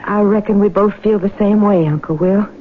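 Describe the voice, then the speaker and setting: A woman's voice: medium pitch, normal speed, slight background noise, natural voice. An actress playing a weary, vulnerable young farm woman in a radio drama, wistful and plaintive. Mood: polite, uneasy. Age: young adult. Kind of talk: radio drama acting, confessional narration. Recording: tinny vintage radio, music behind.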